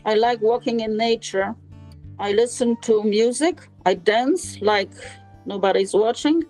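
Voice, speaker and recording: A woman's voice: on the high side, a little mellow, faint music behind, low-quality recording. Polish-accented woman in her sixties. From Poland. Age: 60s. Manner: halting, with frequent fillers. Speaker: interviewee. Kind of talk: personal storytelling interview.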